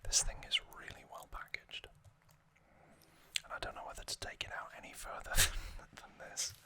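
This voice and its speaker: Bright, crisp whispering ASMR host. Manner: soft, careful whispering with gentle pauses.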